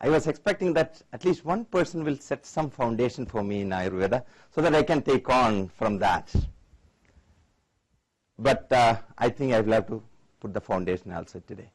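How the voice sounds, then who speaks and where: A man's voice: medium pitch, animated, normal speed, low-quality recording. A man from India in his forties with an Indian accent, a doctor giving a conference lecture and engaging the audience with questions. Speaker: Ayurvedic doctor, guest lecturer.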